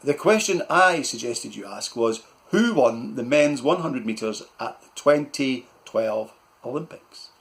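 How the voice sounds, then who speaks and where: A man's voice: mid-range voice, lively intonation, measured pace. A man voicing a blustering, self-important middle-aged uncle who barks questions. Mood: pompous. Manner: loud, barking, self-important.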